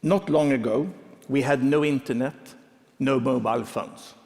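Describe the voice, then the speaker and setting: A middle-aged man from Sweden, giving a prepared conference talk in measured phrases. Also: mid-range voice, vocal fry, a little mellow. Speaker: conference speaker. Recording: long reverb of a large hall.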